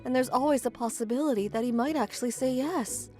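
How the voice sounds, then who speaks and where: Casting: woman as sweet teenage love interest, high-pitched, polite, earnest, romcom manga dub. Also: fast talker, darkish tone, faint music behind, close to an everyday voice. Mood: earnest resolve. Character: Misaki, sweet earnest lovestruck teenage schoolgirl.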